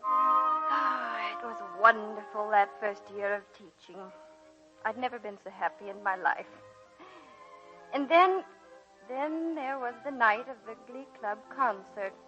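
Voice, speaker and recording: A kindly, proper young schoolmistress in a 1940s radio play. Her voice is high and bright, expressive and a little prim, on an old broadcast recording. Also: unhurried, muffled recording, soft background music. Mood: fond reminiscing. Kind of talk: 1940s radio drama acting.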